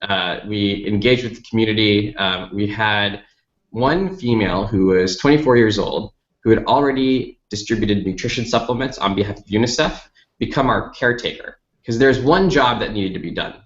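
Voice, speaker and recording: An American man with a fairly low voice explains things as an interview guest. He is articulate and methodical, building his account step by step. The sound is clearly roomy and a little dull. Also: normal speed. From Dallas, United States. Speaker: company founder, interview guest. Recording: roomy, slightly dull lo-fi audio.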